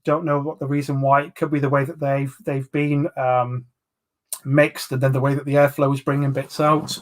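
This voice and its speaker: A man's voice: mid-range voice, little pitch movement, moderate pace. A man in his forties with a London accent, hosting a casual live stream, chatting and reading out viewer comments with lots of ums. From England. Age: 40s. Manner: chatty, rambling, full of ums, banters with viewers. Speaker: livestream host reviewing vape juices.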